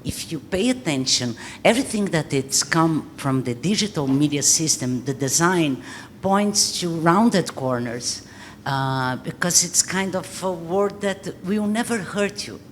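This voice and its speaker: A woman, a Portuguese speaker, giving an earnest panel talk in English with many pauses. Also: low voice, lively intonation, a little hiss or hum. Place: art gallery talk before an audience.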